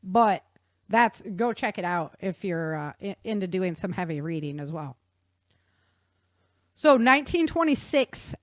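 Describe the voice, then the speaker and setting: A woman hosts a podcast with casual, explanatory talk. She sounds like an informal nerdy enthusiast, with a mid-range pitch and a dry, close microphone sound. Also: no echo. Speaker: podcast host.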